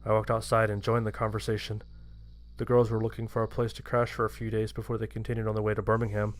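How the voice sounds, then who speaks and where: A man's voice: lowish voice, completely flat delivery, fast. A man reading a scripted first-person horror story as its narrator.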